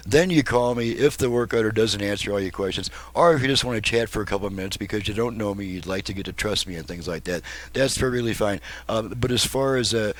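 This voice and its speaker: Low-voiced folksy man demonstrating a repair. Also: a bit bright. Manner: folksy, informal, rambling. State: a bit fatigued. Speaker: car radio repairman presenting his work. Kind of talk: casual product demonstration.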